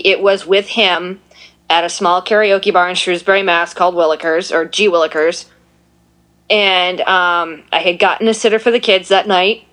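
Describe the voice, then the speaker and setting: A woman with a deeper voice for a woman, chatting at length as a guest in a casual talk. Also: a bit bright. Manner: chatty, self-deprecating, talks at length. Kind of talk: casual chat.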